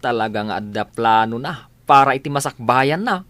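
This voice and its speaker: An actor playing a nosy, teasing village sidekick in an Ilocano radio comedy drama, with an Ilocano accent. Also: male voice, medium pitch, expressive, moderate pace, fairly bright tone, no echo, natural voice. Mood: gossipy.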